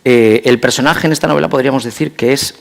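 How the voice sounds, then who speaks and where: A man's voice: mid-range voice, fast talker, gravelly. A man conducting a conversational literary interview, circling hesitantly around his questions.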